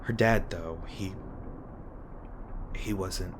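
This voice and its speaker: Male actor as haunted monster hunter: deep voice, guarded yet remorseful, halting intimate confession, audio drama with ambient noise underneath. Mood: subdued, grieving. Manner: halting, hesitant, emotionally weighted confession.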